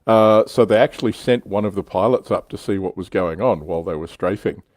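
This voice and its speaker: Low-voiced man, casual and close. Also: fairly quick, darkish tone, dry recording. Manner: explanatory storyteller, casual swearing. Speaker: livestream host. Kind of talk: casual chat on a hobby livestream.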